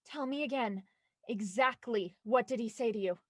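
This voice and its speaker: A woman's voice: fairly high pitch, normal speed, dry recording, clean studio sound, natural voice. An actress playing a determined, impatient woman with an urgent, insistent manner in an audio drama. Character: Felicity, determined, impatient woman desperate to find her brother.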